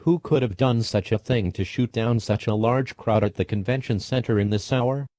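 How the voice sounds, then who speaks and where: A man playing a TV news anchor who puts formal, measured questions to a reporter in a scripted breaking-news scenario. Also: medium pitch, warm tone, slight background noise, close to an everyday voice. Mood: serious.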